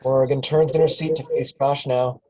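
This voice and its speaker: Man, mellow tone, mid pitch, scripted narration, stage directions at a table read. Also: level tone, moderate pace.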